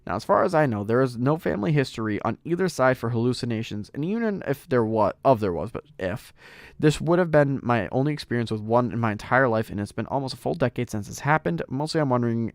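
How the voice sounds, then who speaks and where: Brief: hoarse male stream host, lively pitch, dry close mic, faint music behind. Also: quick pace, no echo. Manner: rambling, talks to chat while browsing. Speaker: podcast host. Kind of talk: reading aloud and casual chat on a livestream.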